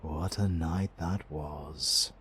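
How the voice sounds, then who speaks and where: A man's voice: a bass voice, steady tone, very noisy, full character voice. A man with a British accent playing a suave, sardonic, flirtatious supervillain in a theatrical romantic comedy audio roleplay. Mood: fond, teasing. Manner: slow, teasing, theatrical.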